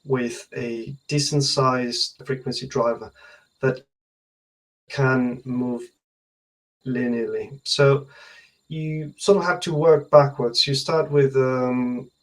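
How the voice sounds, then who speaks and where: A man in his thirties speaks as an engineer being interviewed on a video call. His voice sits at a middle pitch. He explains technical detail hesitantly, often pausing mid-sentence to gather his thoughts. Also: steady tone, low-quality recording. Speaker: loudspeaker research engineer, interview guest. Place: indoor room at home, on a video call.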